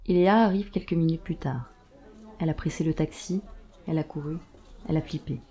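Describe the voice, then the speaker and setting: A woman's voice: low voice, fairly quick, mellow. A woman reading scripted narration for an audio drama.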